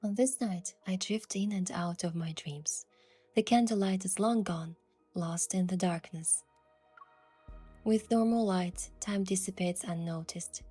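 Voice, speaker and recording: A woman playing a young romantic heroine, narrating her adventure in a soft, measured, wistful way for a game story. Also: mid-range voice, breathy edge, soft background music. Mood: hushed, wistful. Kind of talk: scripted first-person story narration.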